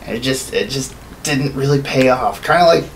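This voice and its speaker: A man's voice: mid-range voice, normal speed, slight background noise. A man in his thirties chatting casually, profane and ranting as a podcast co-host in a small room. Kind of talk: casual opinionated chat about films. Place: small home studio room, indoors.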